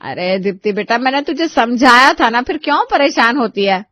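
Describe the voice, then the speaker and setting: A woman's voice: fairly high pitch, expressive, normal speed, pushed and bright, no echo. A woman voicing an indignant, protective middle-aged mother, scolding and emphatic, in story dialogue. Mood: concerned.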